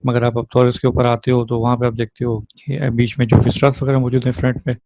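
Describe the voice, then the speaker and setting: A man's voice: mid-range voice, level tone, fast, mellow, no echo. A man lecturing on physiotherapy in an informal, explanatory way, mixing in English terms and checking in with short rhetorical questions.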